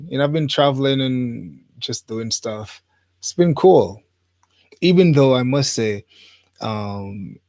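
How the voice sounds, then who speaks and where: A man from Jamaica hosting a livestream, chatting informally to viewers in a rambling way and pausing as he goes. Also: mid-range voice, a little mellow, no echo. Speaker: livestream host. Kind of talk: casual livestream chat to viewers. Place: studio.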